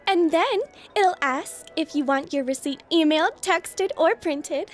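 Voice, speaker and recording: A woman's voice: extremely high pitch, measured pace, faint music behind. A woman playing a perky, over-friendly, passive-aggressive coffee shop barista in an audio comedy sketch. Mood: chirpy. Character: relentlessly chirpy, guilt-tripping coffee shop barista.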